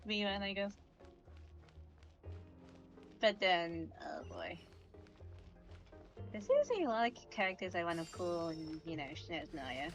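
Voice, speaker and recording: A woman's voice: lowish voice, animated, a little slow, pauses often, faint music behind. A woman streaming a video game, chatting casually and muttering to herself as she plays. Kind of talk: casual livestream gameplay chat.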